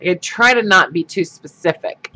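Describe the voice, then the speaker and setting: A man's voice: high voice, normal speed, bright, forceful tone, poor audio. A man hosting a craft livestream, chatting casually and rambling with lots of fillers, his sinuses stuffed up. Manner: rambling, many fillers and restarts.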